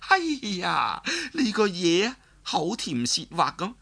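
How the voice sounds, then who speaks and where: Man putting on a high, coy scheming aunt. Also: big pitch swings, slow, gravelly, bright, forceful tone, full character voice. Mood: delighted, coy. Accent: Cantonese. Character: vain, scheming aunt, older woman, easily flattered.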